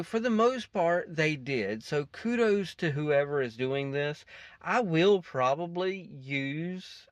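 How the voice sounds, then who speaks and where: Rambling man, higher voice, dry close sound. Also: dry recording. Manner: rambling, self-interrupting. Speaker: reaction video host. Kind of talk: casual off-the-cuff commentary.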